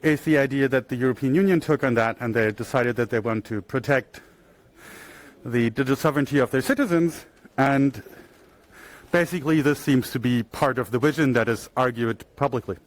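A man's voice: mid-range voice, a little fast. A man from Germany giving an earnest conference talk into a handheld microphone, phrased plainly with occasional slips. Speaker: conference speaker. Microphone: handheld microphone shared with co-speaker.

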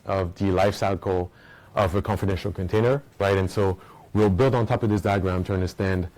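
Low, mellow-voiced man giving a tech talk. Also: fairly even intonation, a little fast. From Belgium. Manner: casual, explaining, frequent "right?" and "uh" fillers. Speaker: conference speaker. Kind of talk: technical conference talk. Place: conference room with an audience. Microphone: small recording mic.

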